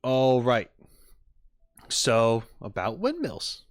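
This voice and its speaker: Male streamer, medium pitch, animated intonation, hesitant casual chat as he thinks aloud. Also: very slow, dry recording, clean studio sound. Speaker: streamer reacting to game content. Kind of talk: casual chat with live viewers.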